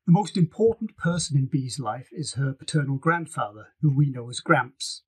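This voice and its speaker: A man's voice: medium pitch, expressive, moderate pace, over loud music. A man reading scripted fiction as an author narrating an audiobook. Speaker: author reading own novel.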